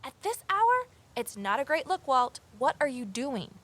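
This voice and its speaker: Casting: amateur sleuth woman, slightly high voice, pointed, questioning, expressive audio drama delivery. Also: unhurried, full character voice. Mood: startled, accusing. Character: Evelyn, sharp, inquisitive amateur sleuth woman. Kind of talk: full-cast audio drama acting, narration and dialogue.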